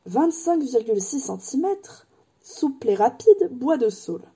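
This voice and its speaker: A woman voicing an eccentric old male wand maker in an audiobook, speaking slowly and deliberately in a hushed tone that turns sharp. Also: high voice, sing-song, husky, pitched above the performer's own voice, exaggerated voice. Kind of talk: audiobook character voice.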